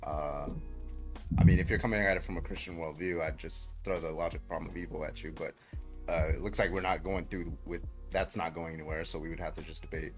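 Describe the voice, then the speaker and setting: A man's voice: low voice, fairly even intonation, brisk, over music. A man hosting a casual livestream debate, laid back and trailing off between fillers. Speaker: stream host.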